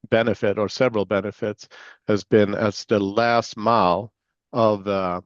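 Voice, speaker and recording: A man from Sweden with a Swedish accent blended with American Southern tones, answering interview questions in a relaxed, filler-filled way. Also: fairly low pitch, measured pace, dry recording, highs cut off. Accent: Swedish mixed with American Southern. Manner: relaxed, many fillers and restarts. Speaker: guest, security executive.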